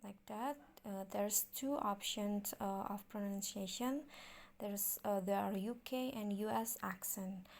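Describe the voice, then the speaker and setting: Slow, gravelly Indonesian-accented woman. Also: mid-range voice, darkish tone. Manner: hesitant, many fillers and restarts, unhurried. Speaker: tutorial host. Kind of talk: casual app tutorial.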